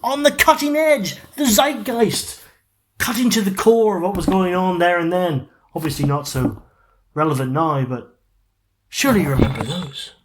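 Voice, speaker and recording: A man as host giving drawn-out, mock-nostalgic linking narration. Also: sits high, a little hiss or hum, low-quality recording.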